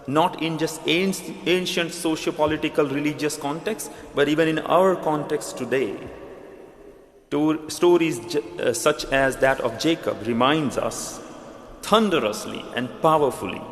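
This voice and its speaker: A man with a thick Indian accent preaching an earnest, measured sermon, now and then restarting words. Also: fairly high pitch. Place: large church hall. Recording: echoey church hall with long reverb.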